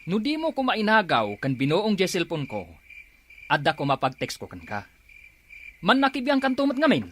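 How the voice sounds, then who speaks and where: A man's voice: high voice, huge pitch swings, normal speed, fairly bright tone, slight background noise, full character voice. A man playing an evasive, irritable husband in an audio drama, defensive and grumbling. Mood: irritated. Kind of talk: Filipino audio drama acting.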